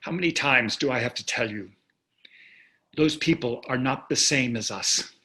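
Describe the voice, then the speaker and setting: A man's voice: medium pitch, normal speed, sharp tone, lightly acted. A man playing a smug, callous wealthy husband in a staged play reading, sardonic and condescending. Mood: dismissive, cold.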